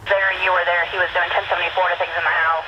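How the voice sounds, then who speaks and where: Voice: mid-range voice, little pitch movement, quick pace, muffled recording. An emergency radio dispatcher giving terse, coded traffic, heard through a scanner radio. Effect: police scanner radio. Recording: very noisy, crackly scanner radio transmission.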